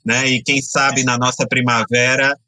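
Man with a Bahian accent, bright voice. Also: medium pitch, flat delivery, a little slow, no room sound. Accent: Bahian Brazilian Portuguese. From Brazil. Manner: thoughtful, earnest, with many "né" fillers. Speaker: writer, interview guest. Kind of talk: podcast interview.

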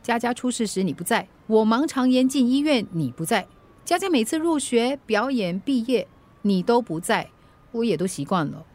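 Middle-aged single mother, fairly high voice, frank, firm, weary, radio drama. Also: very animated, moderate pace, a little mellow. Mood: resigned, firm. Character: independent, weary ex-wife and mother, firm but caring. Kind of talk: Chinese radio drama acting.

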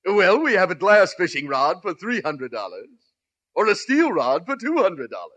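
Snide male shop clerk, vintage radio comedy; high pitch, animated, prissy. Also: normal speed, full character voice. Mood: prim, suspicious. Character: snippy, insulting male store salesclerk. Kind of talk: 1950s radio comedy acting.

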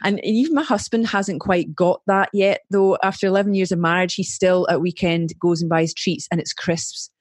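A woman's voice: on the low side, steady tone, normal speed, hardly any pauses, no echo, studio quality. A Scottish woman, a marketing strategist, chatting as a guest on a podcast in an upbeat way and laughing easily. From Scotland. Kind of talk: casual interview chat.

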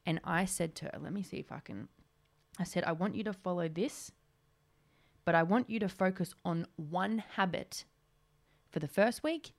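A woman's voice: on the low side, normal speed, vocal fry, long pauses. A woman in her twenties hosting a solo podcast, talking in a chatty, rambling way with lots of "like". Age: 20s. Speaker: podcast host.

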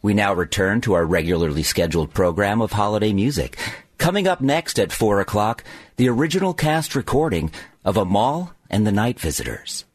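A man playing a composed 1950s radio bulletin announcer with a husky voice and formal, folksy authority. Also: fairly low pitch, lively intonation, fairly quick, no room sound, clean studio sound. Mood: official, composed.

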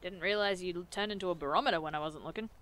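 A woman's voice: lowish voice, fast talker, a bit bright, lightly acted. A woman playing a sardonic, teasing monster hunter in an audio drama, casual and sarcastic in delivery. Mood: wry, unimpressed. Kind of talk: audio drama acting, naturalistic dialogue.

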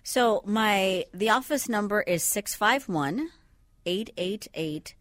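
A woman from the Bahamas, a sleep physician, calmly explaining things step by step as a guest in a radio studio. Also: mid-range voice, takes it slowly, dry recording. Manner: calm, patient, explains step by step. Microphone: studio microphone.

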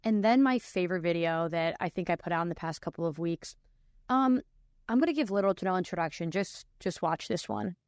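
A woman's voice: fairly low pitch, lively intonation, fairly quick, a little mellow, no room sound. Chatty woman podcast host, dry sound. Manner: chatty, frequent "like" fillers and restarts. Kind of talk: casual reaction commentary.

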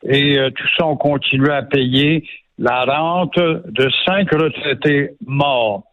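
A man's voice: mid-range voice, takes it slowly, dry recording. Sarcastic Quebec man over a phone line. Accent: Quebec French. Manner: sarcastic, indignant. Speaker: radio commentator. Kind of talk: opinionated radio chat. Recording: phone-line radio audio.

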